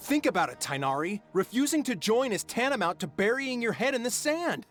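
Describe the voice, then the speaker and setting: Indignant high-voiced young scholar. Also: male voice, big pitch swings, a little fast, a bit bright, faint music behind, full character voice. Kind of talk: video game voice acting.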